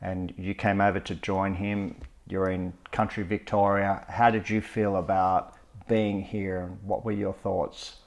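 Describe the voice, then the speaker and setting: A man interviewing a guest, asking open questions and affirming her answers gently. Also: low voice, level tone, a little slow, creaky.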